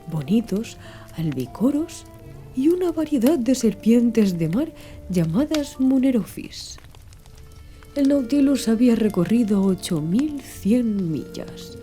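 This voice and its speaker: Very mellow, expressive woman narrator. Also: on the high side. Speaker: audiobook narrator. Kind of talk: scripted literary narration. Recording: music behind the voice. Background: background music.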